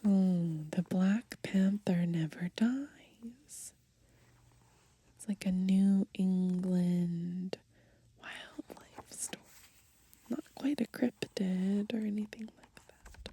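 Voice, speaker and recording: A young woman softly whispering in a gentle ASMR style as she browses and reads from a book outdoors. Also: mid-range voice, drawn-out words, dry recording. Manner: soft, gentle, breathy whispering. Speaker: ASMR creator. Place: outdoors in the grass.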